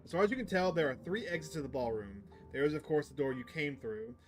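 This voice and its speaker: A man's voice: on the high side, lively intonation, fast, faint music behind. A man live streaming a game, chatting to his viewers and reading the on-screen text aloud. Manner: chatty, addresses stream chat.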